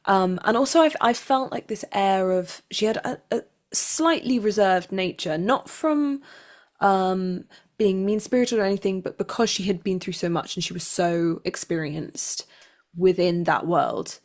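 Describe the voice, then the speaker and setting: A woman's voice: medium pitch, measured pace. A woman actor speaking conversationally as an interview guest.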